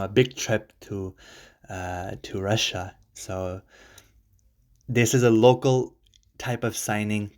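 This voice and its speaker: Rambling enthusiastic football fan man. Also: fairly low pitch. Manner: rambling, lots of fillers and restarts. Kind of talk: casual fan commentary.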